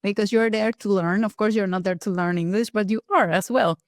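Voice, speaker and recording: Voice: medium pitch, fairly quick, no room sound. A supportive interview host with a mid-range voice, asking short questions and agreeing readily. The sound is close and dry, with no room around it. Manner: supportive, brief backchannels and questions. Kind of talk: casual interview chat.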